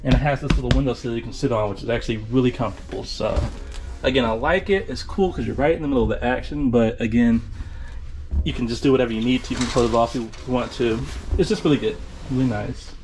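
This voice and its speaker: A man's voice: mid-range voice, quick pace, a little mellow, low-quality recording. A tired man giving a casual, informal tour as a travel vlogger, addressing viewers in a folksy way. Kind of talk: casual cruise ship tour commentary.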